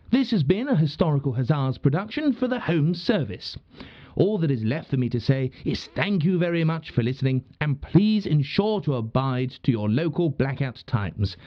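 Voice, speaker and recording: A genial British wartime broadcaster of 1940 , acted by a man, reads his radio script in a highish, very animated voice. He is formal yet chatty, with old-fashioned turns of phrase. The sound is dry and a little dull. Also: no echo. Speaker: radio host. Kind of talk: scripted 1940s radio acting.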